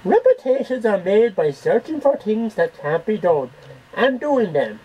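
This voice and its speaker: A man's voice: high voice, very animated, unhurried, mellow, slightly rough, exaggerated voice. A man voicing an earnest, theatrical poetry reciter in an amateur home recording. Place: small cluttered bedroom. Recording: dull noisy webcam audio.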